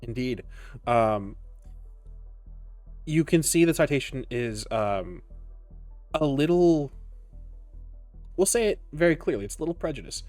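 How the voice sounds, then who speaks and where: A man improvising narration as game master of a tabletop roleplaying game, often pausing mid-sentence. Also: medium pitch, expressive, a little mellow, no echo. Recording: music behind the voice. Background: background music.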